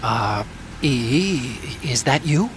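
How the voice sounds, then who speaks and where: A man's voice: medium pitch, expressive, slow, noisy background. A man playing an anxious, hesitant, emotional older brother in a dramatic video game cutscene. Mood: agitated. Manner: hesitant, agitated.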